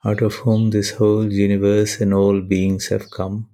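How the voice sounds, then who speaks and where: A man leading a guided meditation and prayer in measured, deliberate short phrases with pauses between them. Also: on the low side, completely flat delivery, warm tone.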